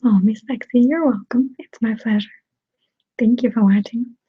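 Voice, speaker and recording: A woman speaks in a hushed, very breathy whisper with a Russian accent. She chats gently and unhurriedly in an ASMR style, pausing as she goes. The sound is dry and close, though muffled and thin like a narrow phone band. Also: on the high side, no echo. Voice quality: soft, breathy, mostly whispered. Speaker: ASMR creator hosting a live stream. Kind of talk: ASMR, casual chat answering viewers. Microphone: sensitive close microphone.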